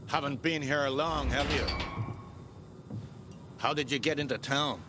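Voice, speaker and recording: A man's voice: fairly high pitch, steady tone, measured pace, noisy background, natural voice. A man playing a bitter, sardonic older gatekeeper who grumbles, in a video game cutscene.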